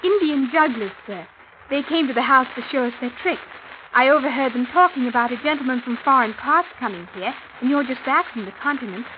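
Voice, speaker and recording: A woman's voice: high-pitched, fairly quick, close to an everyday voice. A woman playing a polite, chatty young servant in an old radio drama. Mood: anxious. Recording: tinny, noisy old radio recording.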